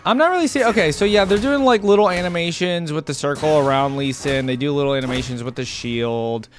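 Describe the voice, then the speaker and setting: A man's voice: fairly high pitch, a little fast. Casual rambling man over music. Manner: rambling, informal, addressing viewers. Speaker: reaction video host. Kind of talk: casual reaction commentary.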